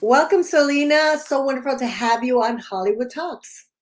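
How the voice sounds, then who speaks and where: A woman's voice: high voice, normal speed, heavy background noise. A woman hosting an interview show, introducing her guest and asking questions. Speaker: interviewer and talk show host.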